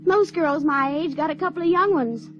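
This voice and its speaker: Woman playing high-voiced Ozarks country runaway girl. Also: moderate pace, music in the background, natural voice. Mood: plaintive. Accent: Ozarks rural American. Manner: rustic, plain-spoken, drawling. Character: folksy sixteen-year-old Ozarks runaway girl, artless and stubborn. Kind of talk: audio drama acting. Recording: dull lo-fi radio recording, faint noise.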